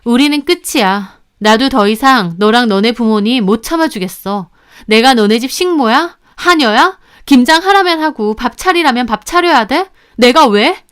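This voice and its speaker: A defiant daughter-in-law acted by a woman with a high, clear voice. She is fed up and emphatic, standing her ground in a family story drama. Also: animated, moderate pace, lightly acted. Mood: angry.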